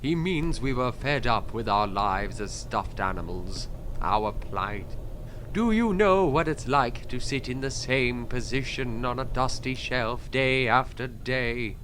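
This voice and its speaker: A man's voice: medium pitch, animated, slow pace, fairly bright tone, a little hiss or hum. A man playing an earnest, disgruntled stuffed toy come to life in an audio drama. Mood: aggrieved.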